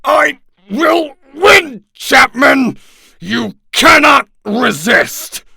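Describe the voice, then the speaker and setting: A man voicing a cruel, alien-possessed middle-aged man who snarls threats and shouts. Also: mid-range voice, very animated, a little slow, gravelly, dry recording, studio quality, heavily acted. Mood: furious shouting.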